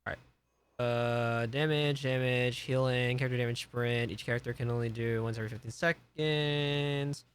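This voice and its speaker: A man's voice: mid-range voice, fairly even intonation, normal speed, clean tone. A man giving casual live gameplay commentary, often muttering to himself as he plays. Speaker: game streamer. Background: loud game music.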